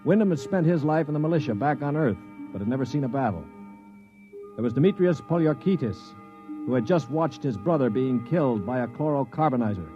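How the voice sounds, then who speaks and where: Actor as dry, cynical space pilot, music behind. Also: male voice, mid-range voice, animated, brisk, lo-fi sound, natural voice. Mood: grave narration. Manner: dry, matter-of-fact, commanding. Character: cool, cynical former space pilot narrating his ordeal. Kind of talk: 1950s radio drama acting and narration. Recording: 1950s radio recording with music behind.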